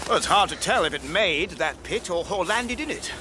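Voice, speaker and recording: An actor playing a brisk, self-assured gentleman astronomer in a period radio drama, clipped and wry. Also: sits high, animated. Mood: curious, wry. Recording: faint background noise.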